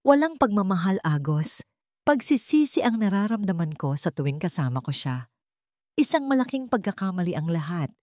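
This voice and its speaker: A woman's voice: mid-range voice, big pitch swings, moderate pace, darkish tone, no room sound, clean studio sound, close to an everyday voice. A woman voicing a dying wife, desperate and remorseful, confessing and pleading in a dramatic story. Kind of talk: dramatic story character reading.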